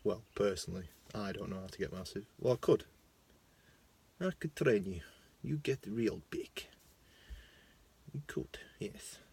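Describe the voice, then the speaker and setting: Young Lancashire man, lowish voice, chatty and self-mocking, filming on his phone in his car. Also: animated, unhurried, creaky, husky. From Lancashire, England. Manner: chatty, self-mocking, swings between loud bursts and mumbling asides. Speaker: vlogger. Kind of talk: casual rambling monologue. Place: parked car.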